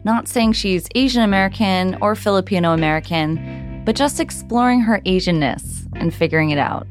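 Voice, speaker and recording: A woman's voice: mid-range voice, moderate pace, faint music behind. A woman hosting a podcast who asks friendly, curious questions and sums up the discussion. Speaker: podcast host.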